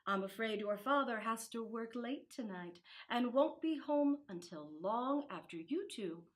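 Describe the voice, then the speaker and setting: A woman voicing a gentle, wistful mother of two in a storybook read-aloud, soft and tender. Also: on the high side, lively intonation, a little slow.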